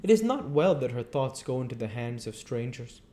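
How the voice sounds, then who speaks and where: A man voicing an elderly foreign professor, speaking in earnest, halting phrases at a raised pitch. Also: big pitch swings, dark tone, a little hiss or hum, lightly acted.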